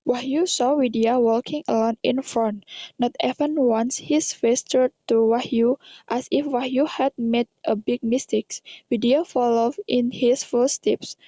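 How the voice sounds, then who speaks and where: A woman's voice: on the high side, fairly even intonation, measured pace, mellow. A woman with an Indonesian accent reading scripted story narration in careful non-native English. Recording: dull, echoey lo-fi recording.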